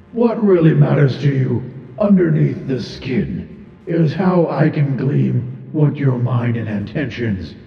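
A man playing a proud, stern, ancient god of war who speaks in a grandiose, formal, deliberate way, as in a theatrical monologue. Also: mid-range voice, gravelly, heavily acted. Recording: muffled, reverberant hall effect with music.

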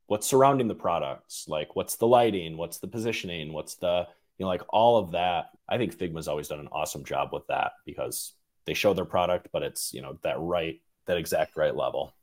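A man with a low voice hosts an informal interview. His phrasing is loose and wandering, as in relaxed conversation between colleagues. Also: brisk. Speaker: interview host. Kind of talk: casual interview chat.

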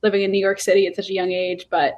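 A woman's voice: medium pitch, monotone, fast, a little mellow, highs cut off. A woman in her early twenties from Rhode Island, a guest telling her story in casual, rambling talk full of fillers and restarts. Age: 20s. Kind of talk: casual interview chat.

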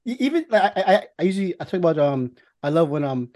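A man's voice: a little high, sing-song, quick pace, warm tone. A man chatting casually as a podcast host, with a rambling, repetitive, slangy way of talking. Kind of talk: casual film chat.

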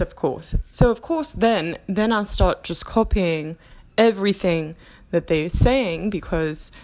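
A woman doing a put-on Australian accent that keeps slipping into English, talking off the cuff in a rambling, self-mocking way in her apartment and laughing often. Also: on the low side. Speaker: podcast host. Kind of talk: impromptu stream-of-consciousness monologue.

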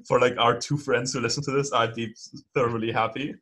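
Podcast co-host, male, mid-pitched, rambling easygoing chat. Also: very animated, normal speed, a bit bright. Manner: rambling, lots of fillers and laughs. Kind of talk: casual chat.

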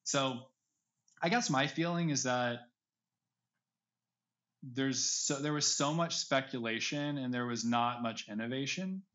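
Male interview guest, medium pitch, conversational and roundabout delivery, slightly lo-fi recording. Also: a little slow, long pauses, a bit bright. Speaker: podcast guest. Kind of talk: casual conversational interview chat. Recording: slightly dull, lo-fi audio.

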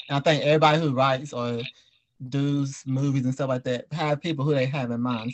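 A man's voice: fairly high pitch, dry recording, poor audio. A man hosting a casual group interview in an informal, slangy way. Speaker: podcast host and audio drama creator.

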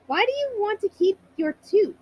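A woman voicing a curious, worried young boy turtle from a children's storybook, with a high voice. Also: lively intonation, normal speed, lo-fi sound, a little hiss or hum. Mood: puzzled, anxious. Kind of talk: children's storybook character reading.

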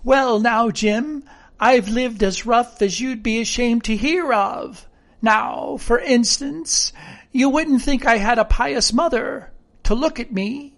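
A woman's voice: a little high, takes it slowly, voice pushed up. A woman voicing a half-crazed marooned sailor from an audiobook, a sly, childishly eager man with rustic, ungrammatical speech. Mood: sly, delighted. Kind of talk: audiobook character voice.

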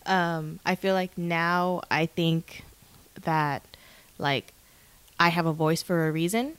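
Lowish-voiced woman in her twenties, chatting. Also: takes it slowly, clear, resonant tone, no room sound. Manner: informal, frequent "like" as filler. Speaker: podcast co-host. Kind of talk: casual unscripted podcast chat.

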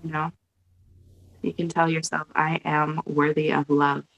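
A woman with a lowish, gravelly voice talks someone through a mantra exercise. Her guidance is soft, slow and soothing, heard over a video call. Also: flat delivery, dull audio. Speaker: guest leading a mantra exercise.

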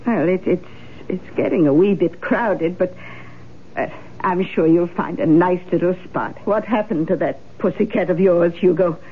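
An actress playing a fussy, doting older woman in a radio drama, gently chiding with fluttery hesitations. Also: fairly low pitch, moderate pace, close to an everyday voice. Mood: gentle chiding. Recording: tinny, hissy old radio drama.

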